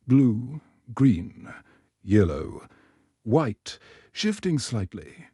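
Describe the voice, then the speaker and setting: Slow, dark-toned male horror narrator. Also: mid-range voice, breathy edge, no echo, clean studio sound.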